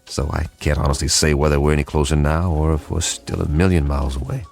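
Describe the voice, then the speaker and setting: Gravelly, low-voiced weary codebreaker. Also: male voice, flat delivery, fast, darkish tone, faint music behind. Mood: weary, exasperated. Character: weary, determined male government codebreaker. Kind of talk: radio drama acting.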